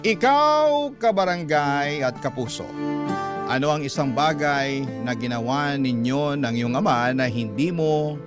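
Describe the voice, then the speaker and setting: A man's voice: on the high side, lively intonation, unhurried. A man working as a radio storyteller, reading a listener's letter in scripted, dramatic narration. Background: loud background music.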